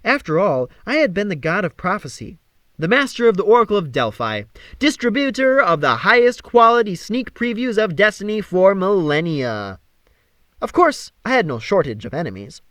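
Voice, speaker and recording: Lively, higher-pitched male audiobook narrator. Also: normal speed, no echo. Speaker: audiobook reader. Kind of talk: expressive scripted narration of a young-adult fantasy novel.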